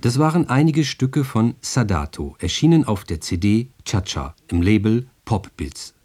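A native German man with a lowish, mellow voice presents on radio. His scripted announcements are very varied in intonation, delivered in a studio. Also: big pitch swings, unhurried. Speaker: radio host.

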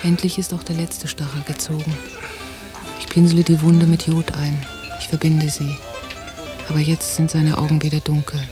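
An actress playing a watchful woman on holiday, narrating a radio drama in a measured, introspective way. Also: low-pitched, level tone, close to an everyday voice. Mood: observant. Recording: 1990 radio drama, music behind.

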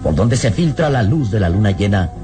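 Low, expressive male radio-serial narrator. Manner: solemn, suspenseful, deliberate phrasing. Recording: old radio drama with prominent music behind.

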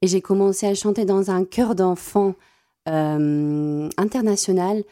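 A young South African woman whose first language is Afrikaans, a classical singer answering radio interview questions in a halting way with many repeated words. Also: mid-range voice, steady tone, warm tone.

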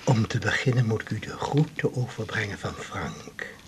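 An actor playing a pompous, pleading disgraced doctor in a radio drama, with a breathy, husky voice and halting solemn phrasing. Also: male voice, fairly low pitch, animated, slight background noise, lightly acted. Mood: solemn, wheedling. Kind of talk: naturalistic Dutch radio drama acting.

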